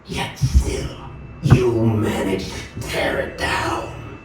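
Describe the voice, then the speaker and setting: Game villain: ancient nightmare monster, slow and scheming, formal theatrics, cavernous echo over music. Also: male voice, fairly low pitch, steady tone, distant mic, background noise. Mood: gloating. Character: sinister, theatrical male nightmare monster, ancient last survivor. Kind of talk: dramatic video game voice acting.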